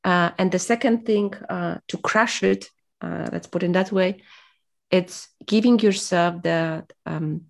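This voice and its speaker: A woman's voice: on the low side, unhurried, gravelly. A woman whose first language is Polish, speaking as an interview guest in a reflective, motivational way, hesitant and full of fillers as she searches for words.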